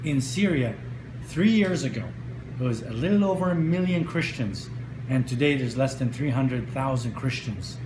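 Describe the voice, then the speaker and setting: A middle-aged man from Lebanon and Egypt, speaking earnestly in a news interview, often pausing on uh. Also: medium pitch. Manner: earnest, with frequent uh fillers. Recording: echoey room with steady noise.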